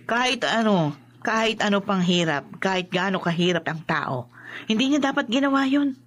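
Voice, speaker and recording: An indignant neighbour woman in a radio drama. Her voice sits at a middle pitch, and she speaks in a huffy, scornful, judgmental manner. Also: animated, moderate pace, a bit bright.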